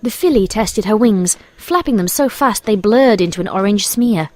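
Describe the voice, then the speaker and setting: A woman's voice: medium pitch, animated, moderate pace. A woman narrator reading a scripted story in storybook narration style. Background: soft music.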